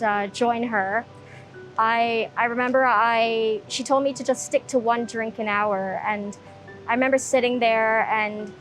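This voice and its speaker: A young woman from Hong Kong telling her own recovery story in a soft-spoken, reflective way, pausing often with ums. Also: on the high side, poor audio. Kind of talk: personal testimony. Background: soft background music.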